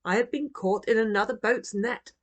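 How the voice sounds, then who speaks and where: A tender old grandad from a storybook, voiced by a woman reader, sharing his memories. He speaks quietly and in measured phrases, with a wistful gentleness. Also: fairly high pitch, voice pushed up, close to an everyday voice. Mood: amused, reminiscing. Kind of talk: children's storybook reading.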